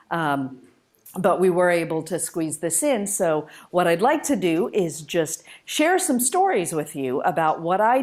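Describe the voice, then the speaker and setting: Woman speaker, slightly low voice. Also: a little mellow. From United States and Malaysia, born in India. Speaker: guest speaker, spacecraft engineer. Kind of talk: informal public lecture with personal stories.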